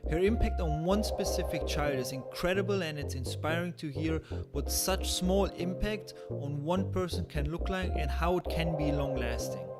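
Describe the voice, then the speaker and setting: A man's voice: a little high, moderate pace, few pauses, over loud music. A man hosting a podcast, introducing and interviewing a guest. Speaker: podcast host.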